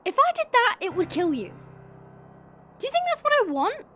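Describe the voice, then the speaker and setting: A woman's voice: extremely high pitch, big pitch swings, moderate pace, fairly bright tone, slight background noise, heavily acted. A woman voicing a cocky, teasing immortal female fighter in an audio drama, with casual, slangy delivery. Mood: exasperated. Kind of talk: dramatic audio roleplay acting.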